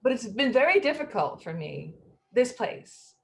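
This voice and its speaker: Actress as a fretful, halting middle-aged woman, noisy recording. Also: fairly high pitch, big pitch swings, creaky. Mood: overwhelmed, fretful. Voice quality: hesitant, stammering. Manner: anxious, halting, self-pitying. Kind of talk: play reading. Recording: noisy room recording with ambience.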